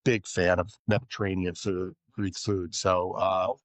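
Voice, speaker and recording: A man's voice: low voice, little pitch movement, a little slow, dry recording. A man hosting a podcast, chatting casually and enthusiastically with his guest, full of friendly compliments. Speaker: podcast host. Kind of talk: casual interview chat.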